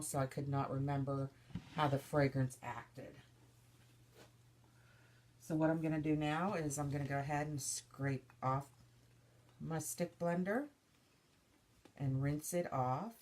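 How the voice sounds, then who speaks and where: Low-voiced woman, stuffy and hoarse. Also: a little mellow. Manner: chatty, narrating each step as she works. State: stuffy and slightly hoarse from illness. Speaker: soap maker demonstrating her craft. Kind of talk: casual step-by-step demonstration.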